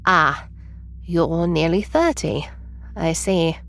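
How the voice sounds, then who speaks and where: A woman's voice: deep voice, takes it slowly, creaky, fairly bright tone, full character voice. A woman playing a prim, rule-following time traveler near retirement who gets irritated easily, in an audio drama. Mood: dry. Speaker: senior time travel agent.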